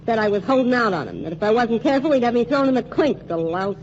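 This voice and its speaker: An actress playing a streetwise young nightclub singer in a 1940s radio drama, brassy and slangy. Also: female voice, a little high, very animated, quick pace, a little mellow. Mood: defensive. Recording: muffled 1940s radio broadcast with faint hiss.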